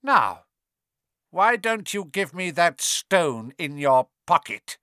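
A man voicing a cruel, snake-faced dark wizard with a high, hissing, snarling voice in an audiobook. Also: big pitch swings, slow, no room sound, studio quality, heavily acted. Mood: sinister. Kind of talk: dramatic audiobook character voice.

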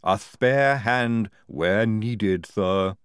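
A man doing a calm, lisping young woman surgeon. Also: fairly low pitch, smooth, dry recording, clean studio sound. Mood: matter-of-fact. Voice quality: slight lisp. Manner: slow, polite, matter-of-fact. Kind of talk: audiobook character voice.

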